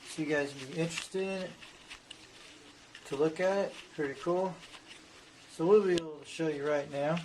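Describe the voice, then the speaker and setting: A man's voice: fairly high pitch, normal speed, long pauses, poor audio. A man presenting a casual, unscripted hobby show-and-tell in a rambling, repetitive way. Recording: noticeable background noise.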